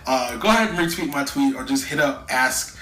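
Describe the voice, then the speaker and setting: A man's voice: medium pitch, brisk, reverberant, a crowd in the background. A man co-hosting a casual podcast, talking loosely with frequent restarts and fillers. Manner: loose, rambling, frequent "you know" and restarts. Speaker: podcast co-host. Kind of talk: casual chat.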